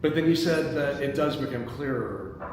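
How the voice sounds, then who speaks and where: A man's voice: mid-range voice, animated, normal speed, darkish tone. A man hosting a script table read and reading out the stage directions. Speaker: host and stage-direction reader. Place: indoor meeting space. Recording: very echoey room, distant mic, background noise.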